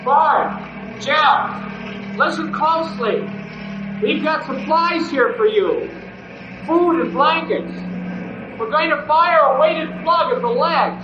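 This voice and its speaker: An actor playing an eager, helpful young rescue-crew sidekick in an old-time radio adventure, with an earnest manner. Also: male voice, very high-pitched, expressive, slow, long pauses, full character voice. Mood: urgent, reassuring. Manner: earnest, calling out instructions clearly. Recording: dull, echoey, noisy old radio recording.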